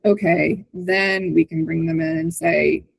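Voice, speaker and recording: A woman's voice: deep voice, steady tone, a little slow, gravelly, slightly rough, poor audio. A woman hosting an online call, giving an informal walkthrough in a conversational way, often checking in with the listener as she explains. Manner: conversational, often ends points with a checking "right?". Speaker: host presenting on a community call. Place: online video call with screen sharing.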